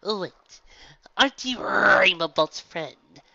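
A woman's voice: medium pitch, animated, slow, creaky, crowd noise, voice pushed up. A woman voicing a wry, guarded female pony gym leader who is easily worried. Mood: wary. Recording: dull, dry lo-fi recording.

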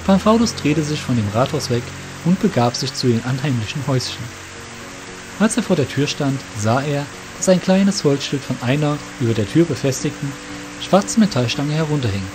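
Male fantasy storyteller over clear music. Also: mid-range voice, expressive, moderate pace. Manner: descriptive storytelling. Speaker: narrator. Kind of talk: scripted fantasy audiobook narration.

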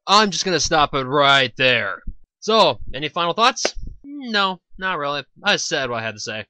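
A man's voice: on the high side, big pitch swings, normal speed, bright, forceful tone, a little hiss or hum. A man doing a mocking voice-over commentary, firing skeptical questions at a video he is reacting to. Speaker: commentary host.

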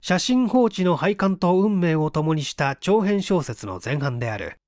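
A man narrating a literary mystery tale in a measured, dramatic storytelling manner with deliberate pauses. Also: fairly high pitch, very animated, darkish tone, no echo, studio quality. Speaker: audiobook reader, stage actor.

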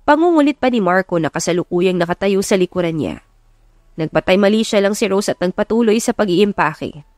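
A woman's voice: mid-range voice, lively intonation, a little fast, darkish tone, no room sound. Filipino woman narrating, dry sound. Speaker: audiobook narrator. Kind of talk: scripted dramatic story narration. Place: close, dry recording with music behind.

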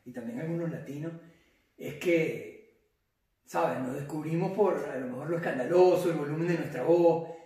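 A man's voice: fairly high pitch, moderate pace, dark tone. A Venezuelan man in his forties chatting casually as an interview guest. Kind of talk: casual chat. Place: indoor living room. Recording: echoey room, distant from mic.

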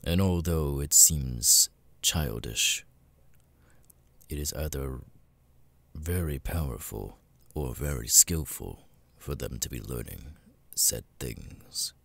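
A man playing a cold, menacing, seductive demon lord in an ASMR audio roleplay, breathy and gravelly, often near a whisper, with slow deliberate pauses. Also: rumbling low, animated, a bit bright, heavily acted. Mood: calm, boastful.

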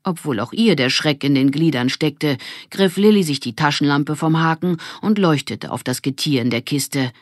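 A woman reading a children's audiobook as an expressive scripted narrator. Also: sits low, moderate pace, dry recording, studio quality.

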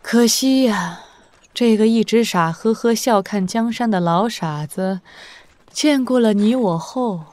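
An actress playing a shrewd, worldly, imperious woman sovereign in a martial-arts audio drama, with deliberate, sardonic delivery. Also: fairly high pitch, darkish tone, natural voice. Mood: wistful, reproving. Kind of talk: Chinese wuxia audio drama acting.

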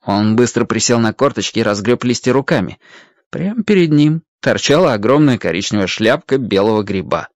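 A man reads a children's story as an expressive narrator. His mid-pitched voice moves widely and animatedly through the scripted prose, recorded dry with little room sound. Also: fairly quick, dry recording.

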